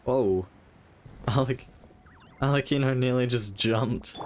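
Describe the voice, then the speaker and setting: A man's voice: mid-range voice, slow, a little hiss or hum. Casual male game streamer thinking aloud. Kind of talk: casual live gameplay commentary. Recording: faint game music behind.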